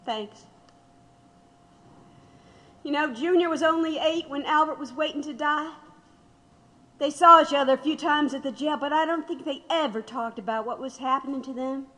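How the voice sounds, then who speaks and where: A woman playing a condemned man's grieving wife, reflective and bitter, telling her story in an audio drama with measured regret. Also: high voice, bright, forceful tone. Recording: long hollow reverb.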